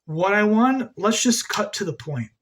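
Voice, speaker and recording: A man's voice: high voice, lively intonation, unhurried, fairly bright tone, a little hiss or hum. A man hosting an occult video, talking in a rambling, agitated monologue, swearing a lot and repeating himself.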